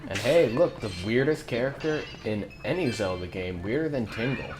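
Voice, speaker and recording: A young man joking and chatting while playing a video game, relaxed and quick to laugh. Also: mid-range voice. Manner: laid-back, joking, laughs often. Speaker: let's play co-commentator. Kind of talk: casual gaming chat. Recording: game music behind.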